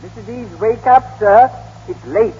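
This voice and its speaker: A man's voice: extremely high pitch, measured pace, mellow, husky, exaggerated voice. A man playing a deferential butler in an old radio drama, speaking formally and politely. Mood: deferential, cautious. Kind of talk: 1930s-40s radio acting. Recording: muffled old radio broadcast, cavernous reverb.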